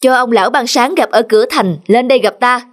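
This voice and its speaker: A woman's voice: fairly high pitch, a little fast, natural voice. Woman voicing a pompous, haughty cartoon king. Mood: condescending. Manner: commanding, pompous. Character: imperious, haughty king in a folk tale. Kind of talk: animated folk-tale dubbing. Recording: music under the voice.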